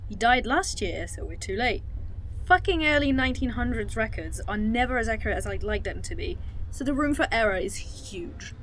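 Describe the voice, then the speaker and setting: A woman's voice: on the high side, animated, normal speed, fairly bright tone, rough recording. A woman acting in an audio drama as a blunt, exasperated time-travel crew member. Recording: faint background noise and ambience.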